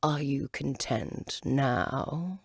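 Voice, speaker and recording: A woman voicing a haughty, testy storybook caterpillar, speaking slowly and emphatically in a curt, languid way. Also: very deep voice, vocal fry, breathy edge, dry recording, studio quality, heavily acted. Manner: slow, drawn-out, emphatic and curt.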